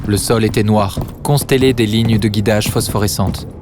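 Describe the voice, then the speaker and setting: A man narrating a dramatic science-fiction story in a solemn, deliberate manner with short phrases. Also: fairly low pitch, steady tone, over music. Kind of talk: dramatic scripted sci-fi narration.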